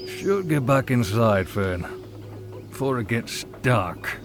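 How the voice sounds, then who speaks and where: A man's voice: medium pitch, animated, noisy background. A man voicing a tipsy, nostalgic older rabbit in a fantasy visual novel, rambling fondly in a folksy way. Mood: wistful, fond. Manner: slow, rambling, chuckling, folksy. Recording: music behind the voice.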